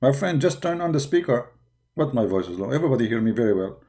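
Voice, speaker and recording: A man hosting a live online debate, talking into a desk microphone. His voice is dark and mellow. He answers a critic with biting sarcasm and a mocking edge. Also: medium pitch, big pitch swings, fast. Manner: sarcastic, mocking, talks back to a played clip. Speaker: livestream host debating a critic.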